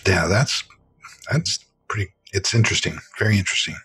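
A man with a low voice plays a tabletop roleplaying game, talking casually in character. Quiet music runs behind him. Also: fairly quick.

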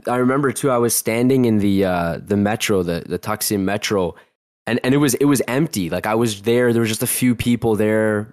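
Casting note: young male guest, low voice, dry close mic, loose rambling storyteller. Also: no room sound. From Canada. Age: 20s. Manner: rambling, heavy use of "like", frequent restarts. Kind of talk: casual storytelling interview.

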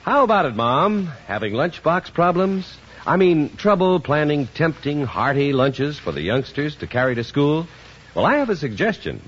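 A man's voice: fairly high pitch, very animated, moderate pace, fairly bright tone, slight background noise. A man working as a commercial announcer, reading scripted 1950s radio advertising with emphatic, folksy salesmanship. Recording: muffled 1950s radio recording.

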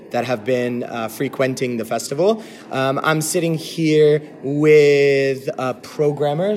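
A man hosting a casual interview, forming his questions haltingly and repeating words as he goes. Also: mid-range voice, a little mellow, slight background noise. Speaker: interviewer, host.

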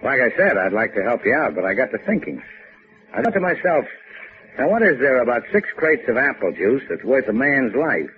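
An American actor playing a cool, wisecracking adventurer in a 1950s radio drama, with a sardonic, slangy delivery. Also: male voice, medium pitch, sing-song, brisk, background noise, lightly acted. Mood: wry bargaining. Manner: sardonic, casual drawl with tough-guy slang. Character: wry, cool, wisecracking boat-owning hotel keeper adventurer. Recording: muffled, phone-like old radio recording.

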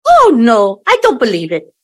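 A woman in an audio play acting an earnest, effusive younger daughter who smooths things over but bristles easily. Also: fairly high pitch, huge pitch swings, moderate pace, fairly bright tone, heavily acted.

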